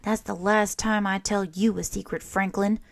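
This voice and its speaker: A woman reading aloud and voicing a young boy bear who speaks quietly and secretively. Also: mid-range voice, steady tone, moderate pace, vocal fry, lightly acted. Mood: angry. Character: young male bear, proud then hurt.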